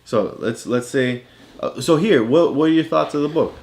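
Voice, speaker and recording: A man's voice: on the high side, moderate pace, background noise. A man chatting casually as he coaches a child, explaining things simply and encouragingly. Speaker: parent co-host coaching a child reader. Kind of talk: casual teaching chat with a child.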